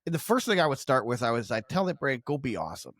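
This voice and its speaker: Mid-pitched man in a dry recording. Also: very animated, fast, a bit bright, dry recording. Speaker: podcast co-host. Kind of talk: casual conversational podcast.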